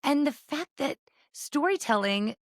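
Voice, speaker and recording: A woman's voice: sits high, lively intonation, unhurried, dry recording. Effusive woman hosting, dry sound. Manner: effusive, emphatic, affirming. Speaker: podcast host. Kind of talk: conversational interviewing.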